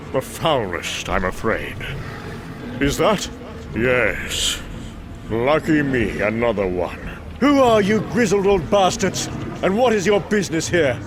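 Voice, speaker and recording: A man playing gruff, battle-hardened Norse thunder gods in a fantasy audio drama, theatrical and declamatory with archaic phrasing. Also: fairly high pitch, noisy background.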